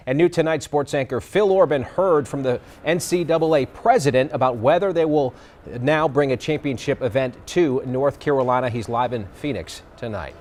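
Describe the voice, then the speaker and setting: A man with a medium-pitched voice anchors a TV newscast. He reads scripted news in a formal broadcast style. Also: darkish tone.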